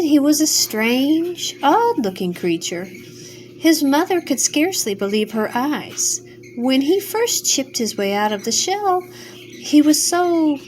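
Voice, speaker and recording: An older woman reading a bedtime story aloud with an expressive storytelling cadence. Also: high-pitched, a little hiss or hum, low-quality recording.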